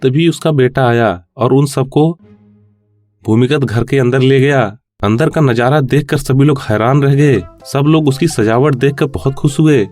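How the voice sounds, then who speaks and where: A man's voice: mid-range voice, a little slow, darkish tone, no echo, soft background music. A man narrating a scripted moral story for children.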